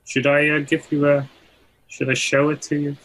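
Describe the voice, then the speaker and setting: A man giving a deadpan, casual screen-share demonstration over a video call. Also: a little high, normal speed, poor audio. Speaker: guest plugin developer demonstrating.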